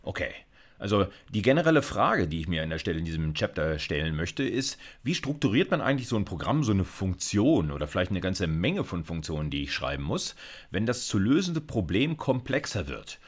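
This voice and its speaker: A male university lecturer in his fifties with a fairly low voice. His intonation swings widely as he explains things informally and emphatically. He talks conversationally, punctuating his points with short tag questions. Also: big pitch swings, brisk. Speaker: university computer science lecturer.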